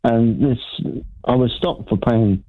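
Slow rambling male caller on a phone line. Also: medium pitch. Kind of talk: casual phone-in chat.

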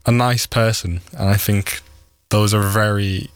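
Young male, Pashto first language, casual guest chat, lowish pitch, little inflection. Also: fairly even intonation, unhurried, clear, resonant tone, dry recording. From Swat Valley, Pakistan. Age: young adult. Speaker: interview guest.

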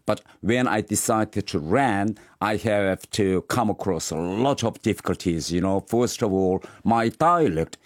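A man from North Korea with a Korean accent, answering in a news interview in measured speech with many pauses. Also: on the low side, expressive, no echo, studio quality. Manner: measured, frequent "uh" pauses, halting phrasing. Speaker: interviewed politician and former diplomat.

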